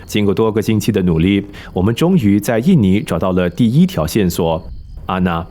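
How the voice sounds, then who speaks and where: Low-voiced man narrating news. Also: animated, brisk, mellow. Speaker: documentary narrator. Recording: faint music bed underneath.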